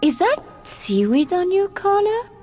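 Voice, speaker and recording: An actress playing a bold, feisty young French resistance woman in a video game, sharp and challenging. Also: very high voice, sing-song, a little slow, soft background music, exaggerated voice. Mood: exasperated. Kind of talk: cinematic video game acting.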